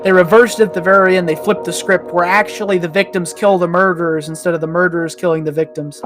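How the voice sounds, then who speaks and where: A man's voice: high-pitched, steady tone, fast talker, over music. A man talking casually and emphatically as a podcast panelist, telling stories in a colloquial way. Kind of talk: casual chat and storytelling.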